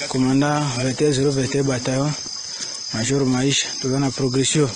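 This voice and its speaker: A man's voice: medium pitch, flat delivery, brisk. A man speaking as a soldier in a firm, declaring field statement outdoors in the bush. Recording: noisy outdoor field recording.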